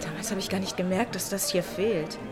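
An actress playing a fun-loving, self-possessed young woman in a German radio crime drama, coolly coy and unhurried. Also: medium pitch, over music, lightly acted. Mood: cool, evasive. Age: young adult.

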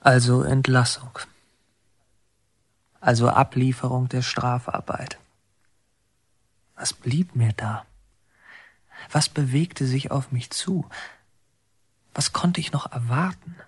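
Young male inmate, husky, breathy, close dry sound, hesitant, pensive, brooding, radio drama. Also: medium pitch, fairly even intonation, takes it slowly, long pauses, no room sound. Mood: brooding, introspective. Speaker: actor. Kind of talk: introspective German radio drama acting.

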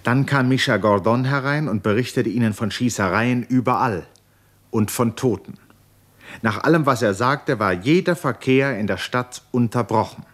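A man narrating a 1950s literary radio play in a measured, sober manner. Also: medium pitch.

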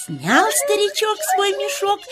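A woman's voice: very high voice, huge pitch swings, takes it slowly, loud music behind the voice, exaggerated voice. A woman voicing a kindly auntie squirrel who tells tales with rapturous expression in a children's audio play. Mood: delighted.

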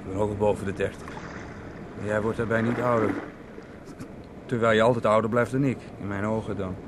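A man's voice: on the low side, steady tone, fairly quick, close to an everyday voice. Hesitant younger brother played by a man, noisy recording. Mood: doubting. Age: 30s. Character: doubting, needling younger brother in his thirties. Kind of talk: naturalistic radio play dialogue. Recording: noisy old radio play recording.

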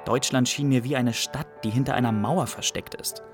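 An actor playing a melancholy young Iraqi refugee, narrating reflectively and in measured phrases in a literary radio drama. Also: male voice, mid-range voice, faint music behind, natural voice. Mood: bleak, reflective. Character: melancholy young Iraqi refugee recounting his life in Germany.

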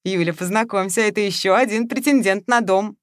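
A woman's voice: mid-range voice, brisk, no room sound, close to an everyday voice. A woman voicing a smug, jovial man who teases and hosts a party, in a dry recording. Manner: expansive, teasing.

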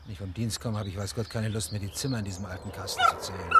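A man's voice: lowish voice, steady tone, rapid-fire, heavy background noise, close to an everyday voice. A man playing a curt, weary grown son. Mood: irritated, weary. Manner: curt, exasperated. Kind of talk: German radio drama acting.

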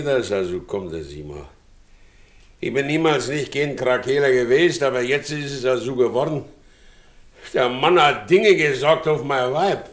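A man's voice: medium pitch, reverberant, close to an everyday voice. An actor playing a brooding, quarrelsome man with a blunt, commanding manner in a German radio drama. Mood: troubled, defensive. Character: brooding, quarrelsome husband demanding proof, quick to rage.

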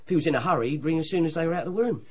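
A man's voice: a little high, rapid-fire, close to an everyday voice. An actor playing a naive young page boy, eager and colloquial, in a radio drama. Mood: matter-of-fact.

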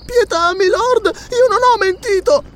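Storybook child role: timid, pleading little servant girl, high and swooping, performed by a man. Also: expressive, fairly quick. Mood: terrified pleading. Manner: breathless stammering, pleading.

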